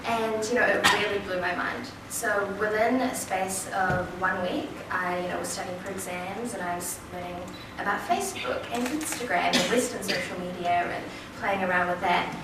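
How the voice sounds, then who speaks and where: A chatty woman in her twenties with a New Zealand accent, telling a personal story at a forum. She sounds far from the mic, in a big hall full of echo. Steady background noise runs under her voice. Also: mid-range voice, normal speed, few pauses. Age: 20s. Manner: chatty, lively storytelling with quoted asides. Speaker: panel speaker. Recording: echoey hall from far off, noisy.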